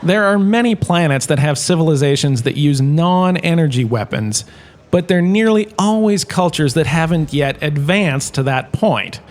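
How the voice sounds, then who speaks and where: An actor playing a washed-up former star turned lecturer, giving a breezy, joking lecture in a comic audio drama. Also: male voice, a little high, animated, normal speed, lightly acted. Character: washed-up former star, now a lecturer, chatty and self-important.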